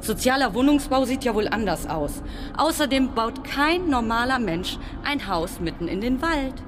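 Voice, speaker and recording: A woman acting a stubborn, sharp-tongued character in a radio drama, insistent and sarcastic. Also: fairly high pitch, very animated, moderate pace, background noise. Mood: argumentative, sarcastic. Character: stubborn, contrary, sharp-tongued woman who argues logically.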